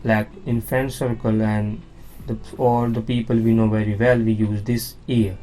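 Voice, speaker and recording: A man's voice: fairly low pitch, flat delivery, a little slow, dark tone, faint music behind. A man teaching a beginner language lesson, explaining slides patiently and repeating words with frequent "now" and "okay?".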